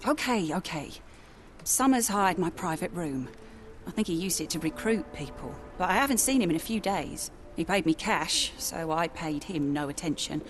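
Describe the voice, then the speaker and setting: A woman's voice: on the low side, lively intonation, moderate pace, music in the background, lightly acted. An actress playing a guarded, plain-spoken woman who runs a Victorian port tavern in a video game. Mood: reluctant. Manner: wary, matter-of-fact. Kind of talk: video game cutscene acting.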